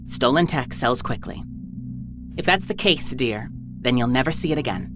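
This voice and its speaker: An imperious female crime boss from a visual novel. Her voice is extremely low for a woman. She is smug and languid, handing out pet names with open condescension, over prominent game music. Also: lively intonation, moderate pace. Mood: commanding, lecturing. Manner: condescending, purring endearments. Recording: music behind the voice.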